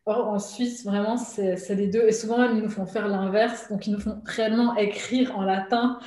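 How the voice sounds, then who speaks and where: A woman's voice: mid-range voice, fairly even intonation, moderate pace, dark tone. A woman, chatting as an interview guest, hesitant with lots of fillers and frequent laughter. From Switzerland. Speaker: guest, artist and illustrator. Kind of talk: casual conversational interview. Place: at home during lockdown. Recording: echoey room.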